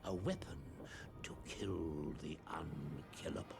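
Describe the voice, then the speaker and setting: Grave, gravelly teller of sea legends. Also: male voice, a bass voice, takes it slowly, full character voice. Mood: ominous. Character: grave, ominous old-sea-legend storyteller in a pirate tale. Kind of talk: dramatic scripted game narration. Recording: game cutscene with loud music.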